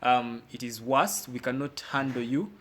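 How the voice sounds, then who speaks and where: A man with a mid-pitched voice works as a talk show host. He speaks informally and conversationally, often repeating words and circling back to rephrase his questions. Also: steady tone, normal speed, fairly bright tone, slight background noise. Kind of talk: live interview, conversational questioning.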